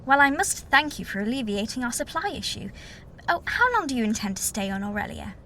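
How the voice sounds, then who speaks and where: An actress as a brisk senior doctor with a high, very lively, swooping voice. She is courteous and formal in an audio drama style, with faint ambience behind her. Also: slight background noise. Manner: formal, old-fashioned polite phrasing. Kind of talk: science-fiction audio drama acting.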